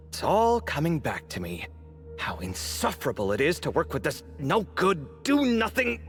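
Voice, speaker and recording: A man's voice: fairly high pitch, big pitch swings, measured pace, a bit bright, soft background music, heavily acted. An actor playing an exasperated young man on a desert expedition in a video game, griping expressively. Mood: indignant.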